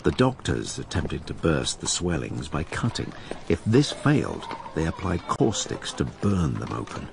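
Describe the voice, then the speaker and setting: A man's voice: extremely low pitch, wildly swooping pitch, measured pace, creaky, hardly any pauses, exaggerated voice. A man playing a wry, musing writer who narrates plague years with ruminative asides, in a recording with background noise. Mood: grim, wry. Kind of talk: dramatised first-person narration.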